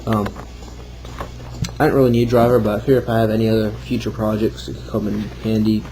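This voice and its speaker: A man's voice: on the low side, little pitch movement, fast talker, dark tone, low-quality recording. A man giving an informal, rambling, self-deprecating walkthrough of something he built, off the cuff. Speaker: hobbyist builder showing a homemade project. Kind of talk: casual off-the-cuff demo narration.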